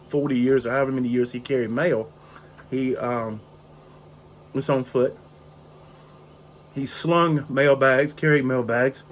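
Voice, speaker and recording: An older man, raspy and hoarse from a cold, rambling slowly through a casual story on his porch. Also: medium pitch, slight background noise. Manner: slow, rambling, with frequent fillers. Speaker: pipe-smoking video host. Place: outdoor porch in drizzling rain.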